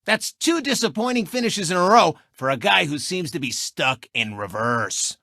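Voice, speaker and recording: An actor voicing a cocky, gloating race car turned sportscaster in a video game, with a boastful, mocking announcer delivery. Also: male voice, on the high side, sing-song, normal speed, vocal fry, bright, forceful tone, no echo, studio quality, exaggerated voice. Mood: announcing.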